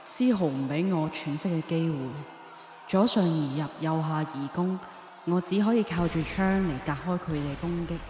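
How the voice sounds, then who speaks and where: A cold young assassin princess for a radio drama, acted by a woman. The voice is mellow and on the low side, detached yet theatrical. It rings with long reverb. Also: slight background noise, lightly acted. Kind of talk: Chinese radio drama acting with first-person narration. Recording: roomy long reverb, faint music behind.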